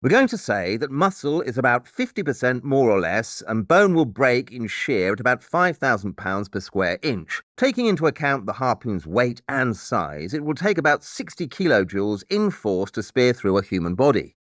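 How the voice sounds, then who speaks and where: A man's voice: mid-range voice, sing-song, moderate pace, few pauses, a bit bright, dry recording. A man playing a brilliant, eccentric detective in an audio drama, dry, matter-of-fact and condescending. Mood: absorbed, analytical.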